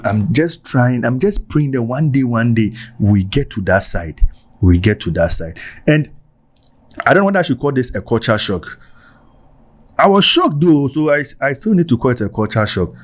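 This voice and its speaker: A man's voice: medium pitch, normal speed. Ghanaian man telling stories. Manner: animated, mixes in Ghanaian phrases, repeats himself for emphasis. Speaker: vlogger. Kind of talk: casual storytelling vlog.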